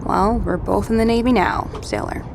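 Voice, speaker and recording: An actress playing a practical, self-possessed survivor in an audio drama, matter-of-fact and terse. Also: female voice, medium pitch, lively intonation, moderate pace, gravelly, background noise.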